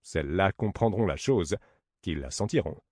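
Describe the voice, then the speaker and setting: A man's voice: low-pitched, expressive, normal speed, darkish tone, dry recording. A man playing a sly, sceptical servant in a stage play, teasing with dry sarcasm. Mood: sarcastic teasing. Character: Grégoire, mocking, sly, sceptical male servant.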